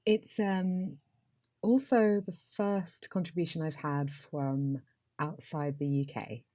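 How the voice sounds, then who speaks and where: Low-voiced woman with an RP accent. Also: slow. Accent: Received Pronunciation English. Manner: flat, even delivery with frequent ums. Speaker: podcast host. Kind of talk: casual solo podcast talk and plain reading aloud.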